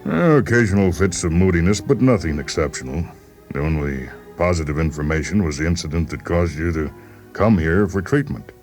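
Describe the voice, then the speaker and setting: An extremely deep-voiced male doctor, acted by a radio reader, humors his patient with chummy, patronizing patience. He hesitates gently as he probes, in a dramatized story with music playing behind him. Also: a little fast. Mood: clinical, reasoning. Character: affable male doctor coaxing a stubborn psychiatric patient. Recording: voice over background music.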